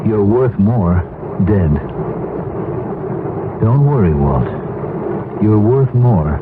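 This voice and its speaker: An actor playing an anxious middle-aged American executive who has lost his job, brooding and halting in 1970s radio drama style. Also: on the low side, lively intonation, heavy background noise. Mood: brooding, despairing. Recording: muffled old radio recording, music behind.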